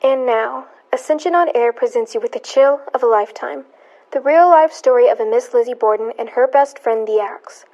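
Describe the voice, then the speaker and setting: A woman's voice: fairly high pitch, normal speed, a little mellow, muffled recording, natural voice. A woman playing an ominous horror radio narrator, theatrical and suspenseful. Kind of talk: scripted radio horror introduction.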